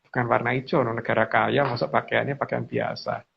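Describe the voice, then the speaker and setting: A man explaining things casually as a guest, haltingly and with repeated phrases. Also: medium pitch, level tone, creaky, slightly rough. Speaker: guest speaker on mystical lore. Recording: dull lo-fi audio.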